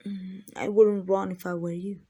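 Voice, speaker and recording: A woman's voice: mid-range voice, flat delivery, moderate pace, dark tone, slightly rough. A woman voicing an arrogant, possessive female vampire in an ASMR roleplay, teasing and condescending to the listener. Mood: smug, menacing. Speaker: amateur voice actor.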